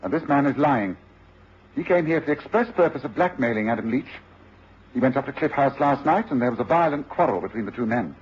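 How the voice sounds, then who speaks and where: Man as an assured, precise detective for radio drama; animated intonation, dulled old recording. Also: medium pitch, a little fast, muffled recording, a little hiss or hum, natural voice. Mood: accusing, firm. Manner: authoritative, didactic, precise.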